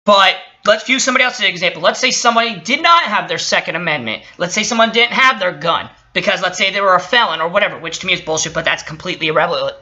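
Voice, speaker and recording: The voice is very bright and forceful, at a middle pitch, with a dull lo-fi recording. The speaker gives off-the-cuff commentary, indignant and emphatic, with profanity thrown in. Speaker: commentator reacting to a recorded call. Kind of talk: impromptu opinionated commentary.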